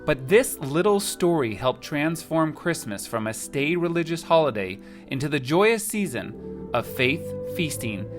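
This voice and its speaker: A man delivering a scripted introduction as announcer of a radio play. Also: mid-range voice. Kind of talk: scripted narration. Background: guitar music.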